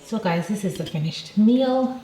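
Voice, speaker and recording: A woman's voice: mid-range voice, expressive, measured pace, mellow, reverberant, soft background music, poor audio. A woman vlogger chatting casually and rambling to her viewers from home. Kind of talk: casual personal vlog chat.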